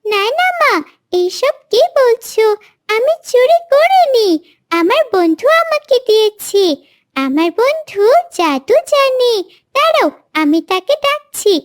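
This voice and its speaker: Little girl bird: tiny very high voice, slow childlike delivery, sweet and plaintive, children's cartoon, dry sound. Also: sing-song, full character voice. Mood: pleading.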